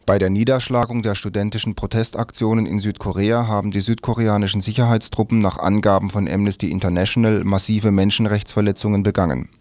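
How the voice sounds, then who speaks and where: A man's voice: fairly low pitch, little pitch movement, fast, hardly any pauses, darkish tone, a little hiss or hum. A man reading scripted news as a radio presenter.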